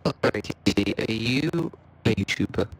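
A man's voice: mid-range voice, lively intonation, a little slow, gravelly. A man making improvised air traffic controller calls in game voice chat, hesitant and subdued. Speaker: fellow player acting as air traffic controller. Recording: game voice chat with faint noise.

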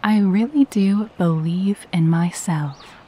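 Soft, husky, mellow woman guiding meditation. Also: fairly low pitch. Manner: gentle, soothing, unhurried delivery. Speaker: meditation guide. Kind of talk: guided meditation with spoken affirmations.

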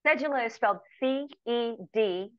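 A woman in her sixties from the United States, a retired guest chatting in a relaxed, storytelling way with lots of ums and restarts, talking over a video call from her home. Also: a little high, normal speed, dry recording. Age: 60s. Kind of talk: casual interview chat. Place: home room with plants, indoors. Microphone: video call webcam. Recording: muffled video call audio.

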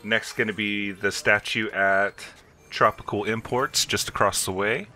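A man's voice: lowish voice, steady tone, unhurried. A man hosting a video game walkthrough, explaining each step in an instructional way. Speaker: gameplay video host.

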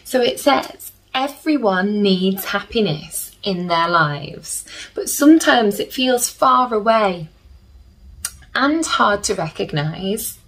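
Slow-paced woman reading to children. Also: mid-range voice, expressive, fairly bright tone, low-quality recording. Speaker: children's story reader. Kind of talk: picture-book read-aloud with chatty asides to children. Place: small plain room indoors.

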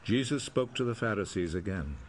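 A man narrating a biblical film in a solemn, measured, scripted way. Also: on the low side, expressive, a little hiss or hum, lightly acted.

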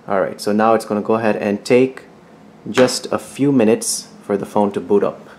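A man with a lowish voice presents a step-by-step tech tutorial. He explains each instruction plainly and methodically, in informal wording. Some light noise sits behind his voice. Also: fairly even intonation, normal speed, darkish tone. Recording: clearly audible background noise.

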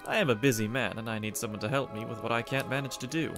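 A man playing a suave, sinister gentleman in a comic dub, theatrical and mockingly polite. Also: mid-range voice, steady tone, fast, close to an everyday voice. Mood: smooth, controlled. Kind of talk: comic dub character acting. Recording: voice over background music.

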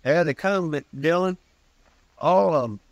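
An American actor playing a folksy, loyal deputy sidekick in an old radio western, plain and deferential. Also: male voice, a little high, moderate pace, no echo, dull audio, lightly acted. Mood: quietly resolute. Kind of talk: mid-century American radio western acting.

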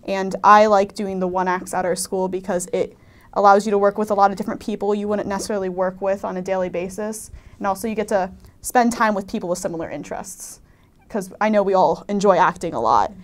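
A teenage young woman speaks in a medium-pitched voice as a student actor being interviewed. She talks casually and a little hesitantly, with "like" scattered through her answers. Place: indoor panel, seated group.

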